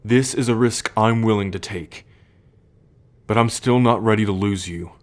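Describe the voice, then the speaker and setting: A young man playing a troubled, protective male werewolf in an ASMR roleplay, speaking in a measured, halting, confiding way. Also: fairly low pitch, lightly acted. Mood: earnest.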